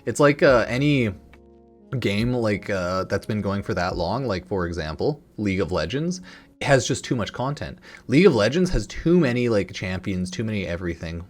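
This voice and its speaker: Man, lowish pitch, casual gaming commentary, informal, rambling, sweary, close mic, background music. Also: expressive, moderate pace. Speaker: gaming content creator. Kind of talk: casual opinion commentary, reading out online comments.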